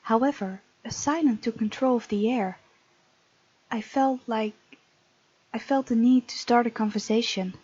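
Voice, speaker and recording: A woman's voice: fairly high pitch, unhurried, pauses often, mellow, husky, highs cut off. A woman hosting a gaming let's play, reading aloud and adding chatty, casual asides. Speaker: gaming video host.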